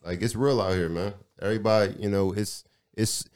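Deep-voiced man, earnest and emphatic. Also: fairly even intonation, brisk, darkish tone, clean tone. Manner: earnest, emphatic, repeats himself. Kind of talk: casual chat.

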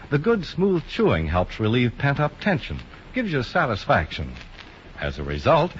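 A man announcing a 1950s radio commercial with a salesman's emphasis. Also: mid-range voice. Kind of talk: 1950s radio advertisement read. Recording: dull, noisy 1950s radio recording.

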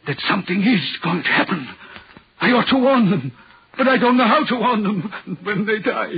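An actor playing a haunted, guilt-ridden railway signalman in a 1940s radio horror drama, speaking haltingly and anxiously. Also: sits high, husky, full character voice. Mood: anguished. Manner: halting, anguished, repeats himself. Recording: muffled, worn 1940s radio recording.

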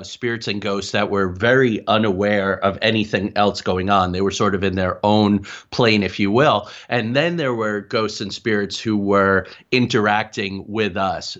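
A man's voice: on the low side, fairly bright tone. A man speaking as an interview guest, telling his experiences in a conversational, explanatory way with asides. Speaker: guest, paranormal researcher and author. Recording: dull, lo-fi call audio.

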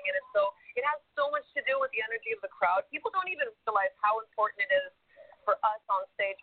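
A woman's voice: medium pitch, no room sound. A woman singer being interviewed, talking energetically and laughing often. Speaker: singer guest. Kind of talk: casual interview chat. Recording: tinny phone-line sound.

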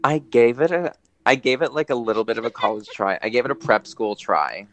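A man guesting on a casual podcast, trading playful, campy, deadpan quips. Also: mid-range voice, fairly quick, no room sound.

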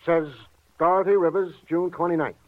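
Man playing a high-pitched eager police clerk. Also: steady tone, moderate pace, lightly acted. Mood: officious. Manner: officious, eager to please, itemizing. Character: eager, fussy male police clerk, devoted father. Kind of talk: 1950s radio crime drama acting. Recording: tinny vintage radio recording.

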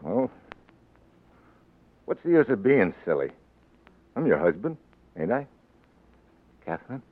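An actor playing a rough, plain-spoken politician and awkward husband in a 1940s radio drama, with an American accent and colloquial, gruff speech. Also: male voice, lowish voice, big pitch swings, unhurried, long pauses. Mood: bashful, awkward. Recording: tinny old radio recording with music.

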